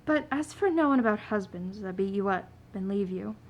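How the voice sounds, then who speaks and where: A woman playing a poor, proud, plainspoken washerwoman and mother in a radio play, with a creaky voice. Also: mid-range voice, lively intonation, brisk, muffled recording. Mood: defiant, weary. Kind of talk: stage-style radio play acting.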